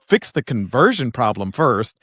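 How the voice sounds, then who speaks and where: A man's voice: medium pitch, sing-song, normal speed, fairly bright tone, no echo, clean studio sound. A man hosting a podcast interview, asking conversational, guiding questions. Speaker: podcast host.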